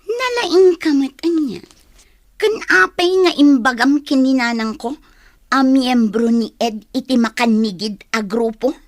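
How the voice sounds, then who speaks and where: A woman's voice: sits high, animated, measured pace, no room sound. A woman playing a headstrong, resentful young student in an Ilocano radio drama, speaking with defiance. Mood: angry, defiant. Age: young adult.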